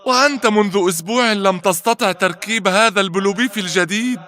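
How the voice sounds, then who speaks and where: A man plays an excitable cartoon sidekick, exasperated and easily panicked. The voice is high and bright, and the words race out as he complains. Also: racing. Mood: grumbling. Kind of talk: animated cartoon voice acting.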